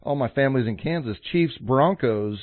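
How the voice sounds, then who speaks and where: A man's voice: medium pitch, animated, normal speed, dry recording. A man from Colorado co-hosting a local sports radio show, easygoing and reading out scores with enthusiasm. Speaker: radio co-host. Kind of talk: casual sports radio chat. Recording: dry, phone-like narrow sound.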